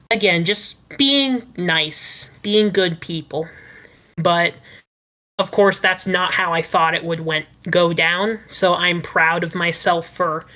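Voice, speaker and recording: Bright, forceful woman on a panel. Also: lowish voice. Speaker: podcast panelist and script writer. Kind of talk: casual panel Q&A talk.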